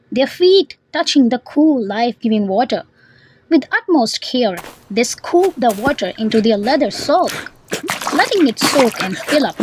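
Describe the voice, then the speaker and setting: A woman's voice: on the high side, slight background noise, low-quality recording. A woman reading a scripted educational story as narrator and vocabulary teacher.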